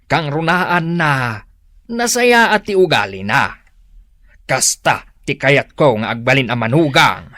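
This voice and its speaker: A man acts out a stubborn older father in a radio play, with a lively, bright voice that rises and falls widely. He talks slowly, and the recording is dry with faint music behind him. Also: mid-range voice, no echo. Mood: scolding. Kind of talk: radio drama acting.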